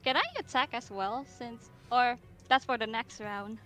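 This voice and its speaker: A woman joins a tabletop game with casual, slow-paced talk. Her voice sits a little high, and there is faint music behind it. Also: fairly bright tone.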